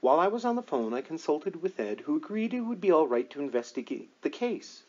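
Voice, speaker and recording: A man narrating a book aloud in a deliberate, measured storytelling manner with dramatic emphasis. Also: on the high side, very animated, darkish tone, lo-fi sound. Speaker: audiobook reader.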